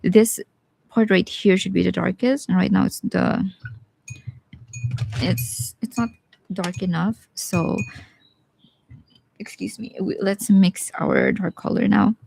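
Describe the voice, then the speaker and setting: Gravelly-voiced Bisaya woman teaching painting. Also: medium pitch, steady tone, slow, long pauses, a little hiss or hum, poor audio. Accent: Bisaya Filipino. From Philippines. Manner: casual, thinks aloud while painting, drops into quiet asides. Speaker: watercolor artist streaming live. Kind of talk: live painting tutorial with chat replies.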